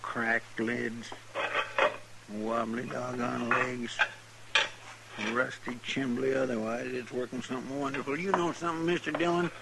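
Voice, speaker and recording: An actor playing a folksy, complaining deputy sidekick with a rural American drawl in an old radio western. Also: male voice, medium pitch, steady tone, measured pace, creaky. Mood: grumbling. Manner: drawling, homespun, grumbling. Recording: very noisy old radio recording.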